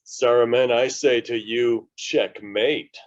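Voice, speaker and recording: A man playing a grave old wizard in a table read, declaiming slowly and solemnly. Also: mid-range voice, steady tone, no echo, dull audio, close to an everyday voice. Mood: solemn challenge.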